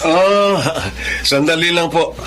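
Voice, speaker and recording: A Filipino actor playing a polite, grateful gentleman visitor in a Tagalog radio drama, speaking in a courteous, measured manner. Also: fairly high pitch, slight background noise.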